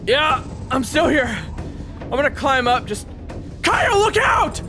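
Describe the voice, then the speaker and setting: A man's voice: extremely high pitch, sing-song, unhurried, pitched above the performer's own voice, heavily acted. A man playing a brash, foul-mouthed young adventurer in an audio roleplay, talking in a wisecracking way. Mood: strained. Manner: wisecracking, swears freely. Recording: loud game music underneath.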